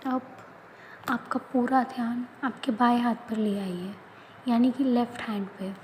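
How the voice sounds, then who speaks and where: A woman leading a guided yoga nidra relaxation, speaking in soft, often whispered instructions. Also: a little high, a little slow, a little hiss or hum. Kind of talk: guided meditation.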